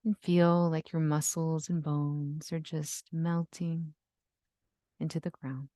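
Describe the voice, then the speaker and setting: Low-voiced woman guiding meditation. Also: flat delivery, no echo, clean studio sound. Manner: soothing, gentle instruction with long pauses. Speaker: yoga nidra guide. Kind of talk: guided meditation.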